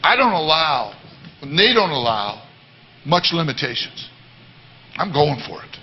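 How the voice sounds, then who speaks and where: A man with a baritone voice giving an earnest, repetitive sermon-style talk to a church congregation. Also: very animated, measured pace, lo-fi sound. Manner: earnest, repetitive, many restarts. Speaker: worship leader. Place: church service.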